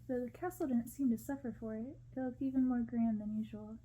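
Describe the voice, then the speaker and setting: A woman narrating a storybook in ASMR style, gentle, hushed and unhurried. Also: a little high, fairly even intonation, slight background noise, rough recording. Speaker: ASMR creator reading a novel.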